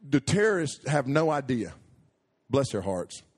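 A man preaching a sermon, telling a story with animated, jokey asides and frequent self-interruptions. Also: medium pitch, unhurried, slight background noise.

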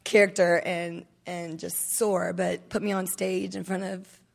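Raspy-voiced woman giving emotional testimony. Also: lowish voice, level tone. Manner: emotional, halting, candid. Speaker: conference speaker giving testimony. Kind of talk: personal testimony talk. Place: conference stage.

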